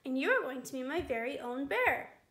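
A woman voicing an eager, sweet little girl in a storybook reading, high and excited. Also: moderate pace, reverberant.

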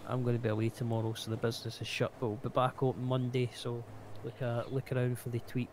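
A man's voice: mid-range voice, monotone, fast, darkish tone. A man with a blunt, sweary way of talking, chatting casually in character in an online roleplay game. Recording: game voice chat with clear background noise.

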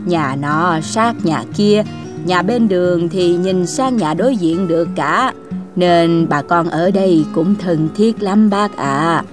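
An actress playing a chatty, cautious older woman in a cartoon, speaking in a folksy, drawn-out way. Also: fairly low pitch, over music. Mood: friendly, chatty. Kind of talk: cartoon ghost story voice acting.

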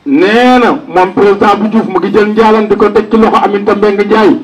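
High-pitched Senegalese man, distant from the mic. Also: steady tone, a little fast, faint music behind. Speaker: announcer relaying a message to mourners. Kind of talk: public condolence announcement. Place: outdoor funeral gathering.

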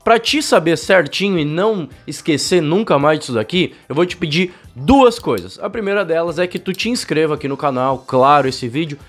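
A young man explains things fast and chattily, and his cold shows in his voice. His voice sits a little high. He speaks close to a desk mic in a small home studio, with soft music playing underneath. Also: lively intonation. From Brazil. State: has a bad cold. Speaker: cycling channel host. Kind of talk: explainer video to camera. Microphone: fluffy-windscreen desk mic, close.

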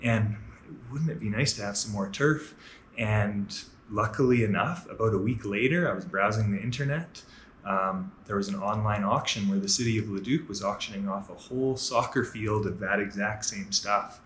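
A man in his thirties, a gym owner telling his story in a relaxed, matter-of-fact way with many fillers. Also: on the low side, normal speed, a little hiss or hum. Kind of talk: casual storytelling on a walking tour. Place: large indoor gym with murals. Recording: echoey gym room with faint ambience.

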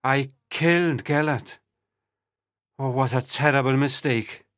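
Man acting a slow-spoken, grieving storybook prince. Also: mid-range voice, a bit bright, dry recording, clean studio sound, close to an everyday voice. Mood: remorseful. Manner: slow, deliberate, dramatic delivery. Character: grieving, hot-tempered prince of an old legend. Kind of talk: dramatised storybook reading for learners.

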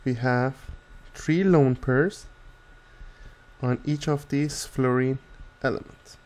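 A man's voice: mid-range voice, steady tone, slow pace, a little mellow. A man working as a chemistry tutor, narrating a methodical step-by-step tutorial.

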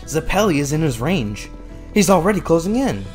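A man playing an excitable, official-sounding race announcer in a dramatic manga fandub. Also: a little high, moderate pace, faint music behind. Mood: excited, urgent. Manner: formal explaining that bursts into breathless excitement.

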